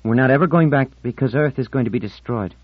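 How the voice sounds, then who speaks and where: A man's voice: mid-range voice, fast talker, slightly rough, no room sound, lo-fi sound, pitched above the performer's own voice, close to an everyday voice. A man voicing a moody, articulate teenage girl in an audiobook, insistent and indignant in manner.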